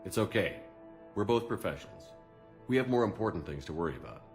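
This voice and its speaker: An actor playing a firm, steady military commander in a video game, terse and controlled. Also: male voice, sits low, a little fast, over music. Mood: steady, supportive. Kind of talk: dramatic video game dialogue.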